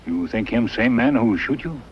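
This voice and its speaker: An actor playing a loyal, stoic companion to a western hero, speaking terse broken English in a grave manner. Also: male voice, mid-range voice, expressive, normal speed, lightly acted. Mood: curious. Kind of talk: 1950s television western acting. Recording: muffled, noisy 1950s film soundtrack.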